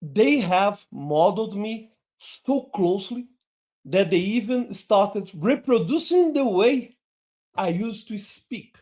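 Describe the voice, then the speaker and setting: Slow, deliberate male teacher. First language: Portuguese. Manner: slow, deliberate delivery with lively pitch swings. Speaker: hypnosis teacher. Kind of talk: online lesson.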